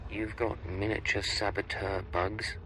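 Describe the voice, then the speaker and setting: Sharp, sardonic secret agent. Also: male voice, on the low side, level tone, measured pace, a little hiss or hum, rough recording. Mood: wry, probing. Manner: dry, wry. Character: cool, sardonic male secret agent, in command. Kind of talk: video game voice acting.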